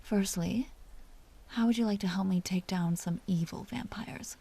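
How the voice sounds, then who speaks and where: A woman playing a commanding, teasing vampire duchess with a tender side, speaking softly and often in whispers in an intimate audio roleplay. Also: mid-range voice, unhurried. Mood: serious, persuasive. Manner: soft, breathy, often dropping into whispers; teasing, possessive, imperious.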